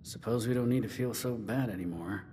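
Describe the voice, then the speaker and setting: An actor playing a somber, blunt and weary wasteland companion in a video game, speaking in a grave, measured way. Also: male voice, mellow, close to an everyday voice. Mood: subdued. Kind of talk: video game dialogue acting. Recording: reverberant game audio with rumbling noise.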